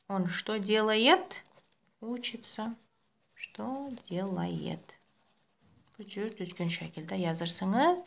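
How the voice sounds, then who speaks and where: Slow Azerbaijani-accented woman teacher. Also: on the low side, vocal fry, slight background noise. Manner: switches between two languages, repeats words slowly while writing. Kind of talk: lesson for children. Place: indoors at a desk, camera over a workbook.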